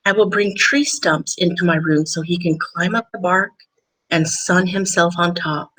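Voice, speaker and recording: A woman voicing an eager young boy with big plans in a gentle picture-book read-aloud. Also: lowish voice, fairly even intonation, unhurried, rough recording. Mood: earnest.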